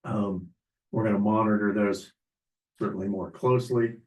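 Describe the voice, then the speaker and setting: A man losing his voice, presenting agenda items at a public meeting in a businesslike way, clearing his throat often. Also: fairly low pitch, steady tone, moderate pace. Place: county meeting room. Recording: echoey meeting room, distant mic.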